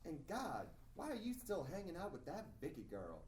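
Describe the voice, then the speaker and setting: A man acting an affectionate, candid mentor who coaxes and advises in an expressive way. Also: a little high, normal speed, voice pushed up. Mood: concerned, affectionate. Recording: echoey room, distant mic, faint noise.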